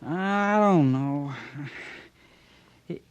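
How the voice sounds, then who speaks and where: An actor playing a dazed, apologetic young cowhand who talks haltingly, in a 1950s radio western. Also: male voice, a little high, smooth, highs cut off. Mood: dazed, rueful. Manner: halting, groggy.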